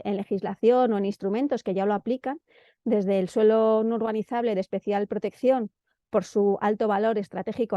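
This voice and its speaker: A woman's voice: mid-range voice, warm tone, no room sound. A woman with a central Spanish accent giving an informal presentation, often hesitating and repeating words as she searches for the next one. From Madrid, Spain. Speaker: presenter of an urban planning guide. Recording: dull, lo-fi dry audio.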